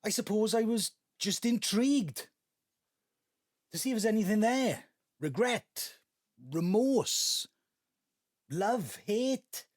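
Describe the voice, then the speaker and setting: An embittered grown son in an audio drama. His delivery is emotive and confrontational, breaking into halting phrases. The pitch moves a great deal, and the recording is close and dry. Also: expressive, no echo, exaggerated voice. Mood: guarded, bitter. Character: bitter, resentful grown son with an axe to grind. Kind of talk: naturalistic audio drama acting.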